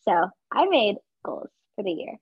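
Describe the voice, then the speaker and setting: Young female podcast co-host from Georgia, husky and eager, on a dull, lo-fi mic. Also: medium pitch, unhurried, dry recording. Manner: bubbly, excitable, talks with lots of fillers. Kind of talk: casual chat. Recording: dull lo-fi sound.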